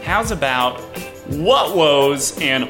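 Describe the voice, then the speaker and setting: Upbeat, earnest co-presenter played by a woman: very low female voice, animated pitch, searching for words, improv comedy. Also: slow pace, over music. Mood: earnest, encouraging. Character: earnest, encouraging advice-show partner, casually upbeat. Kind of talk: improvised comedy radio theater.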